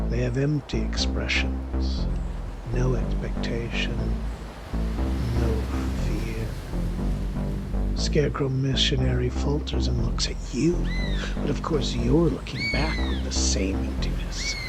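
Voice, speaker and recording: A man reading a short story as a dramatic, deliberate and expressive narrator, in literary style. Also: medium pitch. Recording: prominent music under the voice. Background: music.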